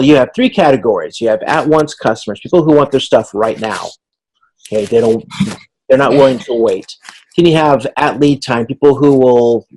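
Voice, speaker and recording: Guy teaching an online class, mid-pitched voice, informal, kinda halting, explains stuff as he goes. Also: a little mellow, low-quality recording. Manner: halting, conversational, thinking aloud with everyday examples. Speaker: instructor leading a class video conference.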